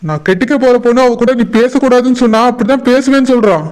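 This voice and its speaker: A man's voice: very high-pitched, fast talker, a little mellow. A man voicing a jealous, hot-tempered young groom-to-be who grumbles insistently in a village cartoon. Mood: angry, insistent. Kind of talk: cartoon voice acting in colloquial dialogue.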